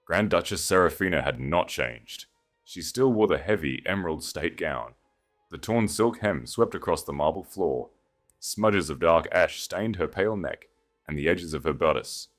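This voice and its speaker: Deep-voiced man narrating a dramatic story. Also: lively intonation, normal speed, faint music behind.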